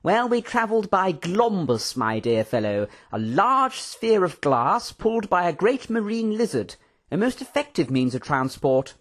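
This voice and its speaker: Storybook professor: high, swooping voice, pompous, eager, talkative, genteel old-fashioned phrasing. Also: male voice, wildly swooping pitch, normal speed. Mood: animated, indignant.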